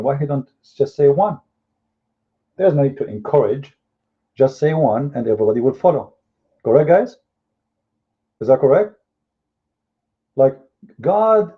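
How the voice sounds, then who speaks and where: A man whose first language is Arabic, with an Arabic accent, arguing heatedly in a live debate and firing off mocking rhetorical questions. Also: on the high side. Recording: phone-like lo-fi audio.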